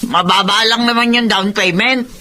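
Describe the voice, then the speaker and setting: A woman's voice: mid-range voice, steady tone, moderate pace, pushed and bright, a little hiss or hum, lightly acted. An actress playing a fretful middle-aged mother who worries over money and nags, in a Filipino radio drama. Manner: fretting, nagging.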